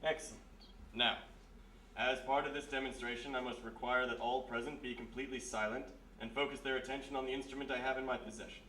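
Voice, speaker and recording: A man's voice: medium pitch, steady tone, fast, sharp tone, natural voice. A man playing a grandiose, florid stage magician of the occult in solemn old-time radio mystery style. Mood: grandiose, mysterious. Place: live performance in a roomy historic house. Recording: echoey live stage, distant mic, hiss.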